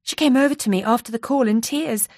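A woman's voice: on the high side, fast, darkish tone, no room sound, clean studio sound, voice pushed up. A woman voicing a nervous, chatty female office worker who confides eagerly and a little breathlessly. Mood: confiding.

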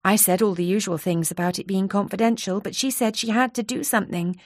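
A woman's voice: mid-range voice, fairly even intonation, fairly quick, dry recording, studio quality, natural voice. A woman voicing a nervous, chatty female office worker who confides eagerly and a little breathlessly. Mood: confiding.

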